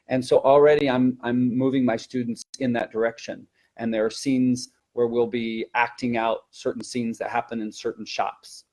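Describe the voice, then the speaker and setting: A man speaking as a language teacher in an informal instructional webinar, explaining and repeating words for emphasis. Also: medium pitch.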